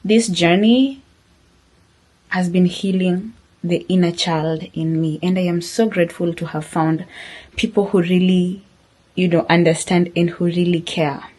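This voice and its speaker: A woman's voice: on the low side, steady tone, unhurried, a little mellow, low-quality recording. A woman chatting casually and earnestly to her viewers as a vlogger, in an informal way. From Kenya. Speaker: vlogger, host.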